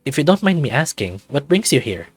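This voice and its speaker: A man acts out an eager young lifeguard from a visual novel. He is curious, friendly and a little unsure, at a medium pitch. The recording is dry. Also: very animated, fairly quick, breathy edge, no echo, soft background music.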